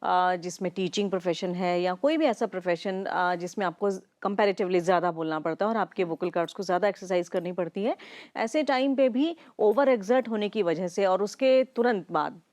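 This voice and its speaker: A woman Ayurveda doctor answering interview questions in a measured, explanatory way with frequent filler pauses. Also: mid-range voice, a little mellow.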